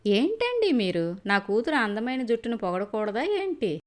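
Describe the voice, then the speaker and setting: Proud cartoon mother, played by a woman, high-pitched, fond and fussy. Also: big pitch swings, moderate pace. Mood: doting, fussing. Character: doting, proud young mother and wife.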